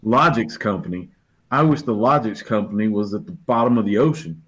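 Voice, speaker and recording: An actor playing a sour, intimidated man in a radio play, complaining gruffly. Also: fairly low pitch, a little fast, fairly bright tone. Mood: sour, resentful. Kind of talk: 1950s radio play acting.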